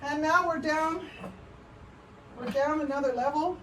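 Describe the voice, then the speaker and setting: A Canadian woman with a fairly high voice hosts an informal craft livestream. She talks in a chatty, meandering way, often dropping a sentence halfway and picking up again. There is noticeable noise behind her voice. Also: measured pace. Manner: chatty and rambling, often breaking off mid-sentence. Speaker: potter hosting a livestream. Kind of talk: casual live show-and-tell of pottery.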